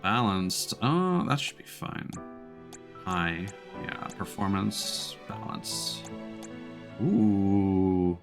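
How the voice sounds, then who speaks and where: A man's voice: sits low, very animated, very slow. A man giving casual gaming commentary, thinking aloud and sometimes reading on-screen text. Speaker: streamer. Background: game music.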